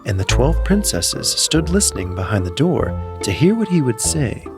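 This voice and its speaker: A man's voice: medium pitch, very animated, normal speed. A man narrating a scripted fairy tale as a storyteller, reading in full literary sentences. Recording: music behind the voice. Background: background music.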